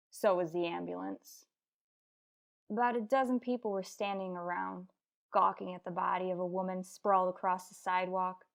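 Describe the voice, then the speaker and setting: An actress plays a tough female detective sergeant in an old-time radio crime drama. She narrates in a terse, deadpan style at a middling pitch, all business and matter-of-fact. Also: gravelly, lightly acted. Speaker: narrator and lead actor.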